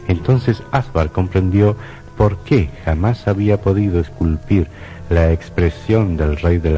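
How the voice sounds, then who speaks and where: A man narrating a scripted horror radio drama in a solemn, dramatic style. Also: deep voice. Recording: dull lo-fi radio recording. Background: faint music.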